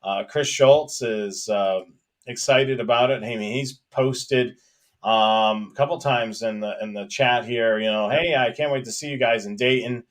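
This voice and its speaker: A man's voice: medium pitch, a little slow. A man co-hosting a casual live stream for radio hobbyists, talking in a hesitant, rambling way and repeating phrases as he goes. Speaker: co-host of a live hobby show about police scanners.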